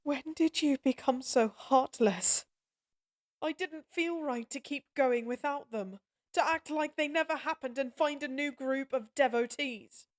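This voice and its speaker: Casting: forsaken, guilt-ridden goddess played by a woman, higher voice, unhurried halting delivery, emotional audio-drama acting. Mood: grieving, pained. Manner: halting, emotional, earnest pleading.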